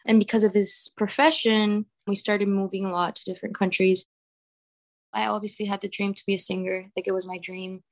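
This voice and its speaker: A woman's voice: mid-range voice, level tone, brisk. Young Venezuelan-accented woman, chatty. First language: Spanish. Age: young adult. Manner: chatty, filler-heavy with "like". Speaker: singer. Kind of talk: casual interview.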